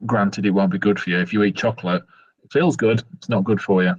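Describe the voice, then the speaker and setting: A man playing a tabletop game, arguing his point earnestly and haltingly in improvised banter. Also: on the low side, fairly even intonation, breathy edge, dull audio.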